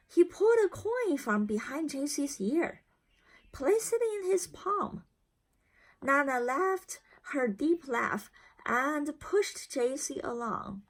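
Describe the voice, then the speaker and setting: Woman children's read-aloud narrator: expressive, swooping intonation, unhurried phrasing. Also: sits high. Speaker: storybook reader.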